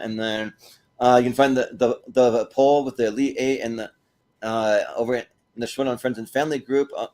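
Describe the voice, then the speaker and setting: A man with a mid-pitched voice hosts a casual panel show. He speaks in a halting, informal way, often repeating a word before finishing the thought. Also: darkish tone. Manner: halting, repeats words as they go. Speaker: host of a movie panel show. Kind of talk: casual panel chat and sign-off plugs.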